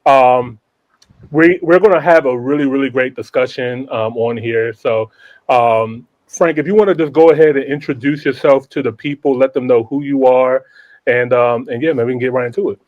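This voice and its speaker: A man hosting a podcast in casual, slangy hype style, with an animated, welcoming manner. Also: medium pitch, moderate pace, no room sound, lo-fi sound. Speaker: podcast host.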